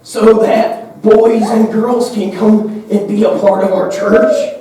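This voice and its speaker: A man's voice: high-pitched, steady tone, takes it slowly, warm tone. A man voicing an eager, giggly, easily distracted boy puppet in a children's church show. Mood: earnest. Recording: echoey, noisy room, distant mic.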